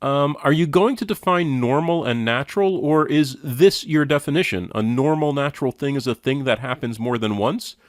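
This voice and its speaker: A man's voice: mid-range voice, expressive, normal speed, hardly any pauses, no echo, clean studio sound. A man giving critical commentary in a skeptical, sardonic and challenging manner. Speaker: commentator reviewing and rebutting a lecture.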